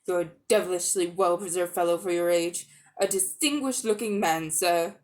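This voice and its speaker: A woman's voice: lowish voice, moderate pace, darkish tone, close to an everyday voice. A woman voicing a vain, pompous old uncle in a dramatic storybook reading, wheedling and drawing out his words. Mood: self-admiring. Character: vain, pompous old man, a foolish upper-class magician uncle.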